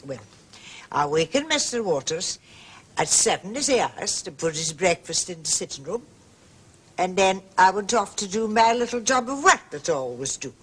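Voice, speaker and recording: An actress playing a prim, gossipy and indignant landlady in a period mystery drama, husky and emphatic, forever justifying herself. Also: on the low side, very animated, normal speed, fairly bright tone, exaggerated voice. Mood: confiding. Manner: emphatic, self-justifying, repetitive.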